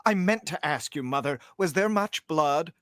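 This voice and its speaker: Man playing eager, lively, morbid young know-it-all. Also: a little high, moderate pace, no room sound, studio quality. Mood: eager, pompous. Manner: pompous, precocious, earnest. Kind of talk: 1930s radio drama acting.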